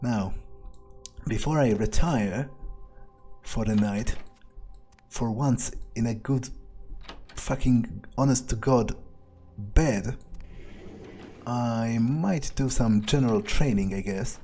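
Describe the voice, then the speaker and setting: A man's voice: medium pitch, slow, long pauses, warm tone, a little hiss or hum. A man playing an arrogant, scheming, foul-mouthed vampire in a muttered, scornful in-character monologue over gameplay. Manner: profane, scornful, muttering to himself.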